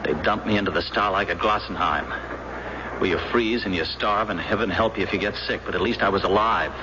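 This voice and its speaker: An actor playing a frightened American escaped prisoner of war in an old radio drama, speaking nervously with halting stammers. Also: male voice, lowish voice, natural voice. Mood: bitter, afraid. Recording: muffled radio drama tape with loud background noise.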